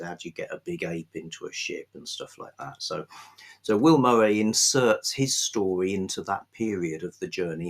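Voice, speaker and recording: A man hosting an informal book review, talking off the cuff in a halting, thoughtful, enthusiastic way. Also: sits low. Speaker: book reviewer, video host. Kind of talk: unscripted book talk to camera.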